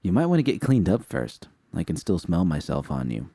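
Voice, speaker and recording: A man reading a fan story voices a sly, teasing young woman mercenary, raising his pitch for her. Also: huge pitch swings, normal speed, warm tone, dry recording, clean studio sound, heavily acted.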